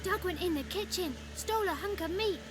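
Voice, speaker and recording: An actress playing a cheeky, blunt little peasant child in a video game, chanting and talking in rustic speech. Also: female voice, very high voice, a little fast, background noise. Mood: gleeful chanting.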